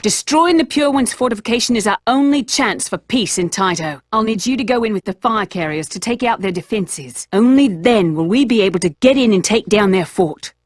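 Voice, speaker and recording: A woman's voice: mid-range voice, expressive, fairly quick, hardly any pauses, noisy background, natural voice. A woman voicing a commanding female owl resistance leader who gives urgent mission briefings over game music.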